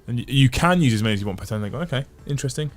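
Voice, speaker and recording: A man's voice: mid-range voice, animated, racing. A man hosting a casual livestream, chatting and reading out viewer comments, thinking aloud in long runs. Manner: chatty, thinks aloud with restarts and repeated phrases. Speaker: livestream host.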